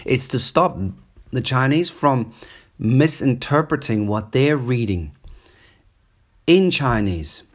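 A man's voice: medium pitch, animated, slow pace, long pauses. Rambling man with a New Zealand accent. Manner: rambling, digressive, conversational. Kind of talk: casual spoken monologue. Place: on holiday up the coast in Australia.

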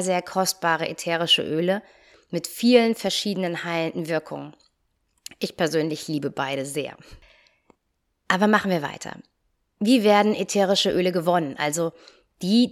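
A young woman hosting her own podcast as a yoga teacher and coach, talking in a calm, friendly way straight to the listener, her nose still a little stuffy from a cold. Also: lowish voice, normal speed. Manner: calm, friendly direct address. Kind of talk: solo podcast talk.

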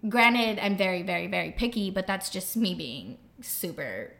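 Young woman with a gravelly, halting voice. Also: medium pitch, big pitch swings, a bit bright. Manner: halting, many fillers and restarts. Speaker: podcast guest. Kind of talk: candid conversational interview.

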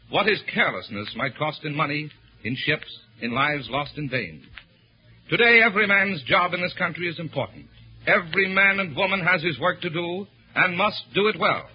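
High-pitched male 1940s radio announcer. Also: breathy edge. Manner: declamatory, earnest, measured phrasing. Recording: muffled old 1940s radio recording.

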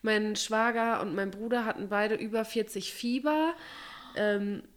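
A woman's voice: medium pitch, unhurried. A woman chatting casually and emphatically as a podcast co-host, a little stuffy from a cold.

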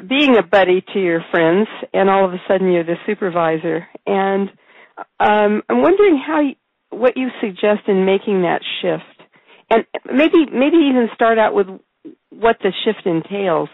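A woman hosting an interview, hoarse with a cough and a raspy voice, talking in a loose conversational way. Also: medium pitch, animated, unhurried, no room sound. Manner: conversational with fillers and restarts. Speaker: host and executive coach. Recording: dry tinny phone line.

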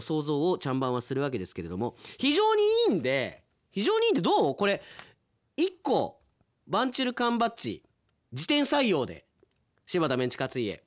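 A man working as a radio host, chatting casually and wryly as he reads out and comments on listener submissions. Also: on the high side. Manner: animated, chatty, wry asides and chuckles. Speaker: radio announcer hosting a radio drama segment.

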